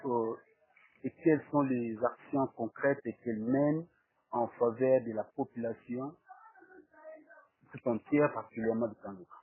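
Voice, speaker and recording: Husky host on a tinny line. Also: male voice, medium pitch, fairly even intonation, measured pace, pauses often. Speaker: talk show host and interviewer. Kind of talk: formal interview presentation. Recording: tinny, phone-like narrow-band sound.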